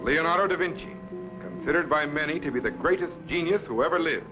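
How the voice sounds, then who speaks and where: An actor playing a commanding, scholarly time-machine captain in a crisp, authoritative 1950s science fiction serial style. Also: male voice, a little high, expressive, fast talker, natural voice. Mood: instructive. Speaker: captain and mentor. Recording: dull vintage broadcast with loud music.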